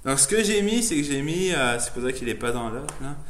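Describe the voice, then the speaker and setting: A man's voice: on the high side, lively intonation, brisk. Guy demoing his editor setup, rambly and loose, not his first language, echoey room. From immigrant to Canada. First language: not French. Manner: loose, chatty, thinking aloud, many fillers and restarts. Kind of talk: casual live software demo. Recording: echoey room, faint noise, keyboard typing.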